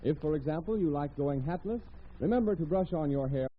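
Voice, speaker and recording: A man's voice: a little high, animated, a little fast, breathy edge. A man with an American accent announcing scripted sponsor messages in a formal, polished 1940s radio style, on a tinny, noisy vintage recording.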